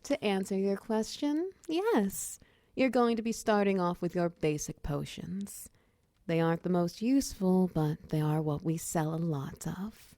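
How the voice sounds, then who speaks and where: A woman's voice: fairly low pitch, animated, unhurried, no echo. A woman playing a confident, flirtatious female minotaur wizard who runs a potion shop, speaking to the listener in intimate, teasing roleplay ASMR. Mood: businesslike, friendly. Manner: teasing, coy, softly breathy in places.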